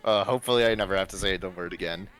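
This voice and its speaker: A man's voice: deep voice, racing, noisy background. A man reading a story aloud as a livestream narrator, expressively and with casual asides. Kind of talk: expressive fan fiction read-aloud with casual banter. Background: music.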